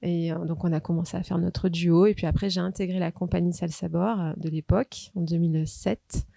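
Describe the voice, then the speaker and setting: A woman's voice: on the low side, steady tone, brisk, creaky, dark tone, no echo. A middle-aged woman from Marseille, a dancer, recalling her path in an interview with many hesitations. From Marseille, France. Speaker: dancer at the school.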